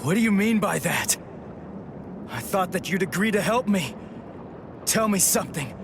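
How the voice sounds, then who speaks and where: An actor playing an earnest, hot-headed teenage sword fighter in an anime game, speaking with intense emphasis. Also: male voice, high voice, lively intonation, moderate pace, gravelly, background noise. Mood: pleading, demanding. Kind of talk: English anime game voice acting.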